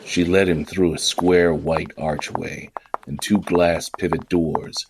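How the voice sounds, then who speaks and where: Man with a super deep voice reading out a noir story, slow and steady. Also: slight background noise, rough recording. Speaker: narrator. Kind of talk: scripted narration.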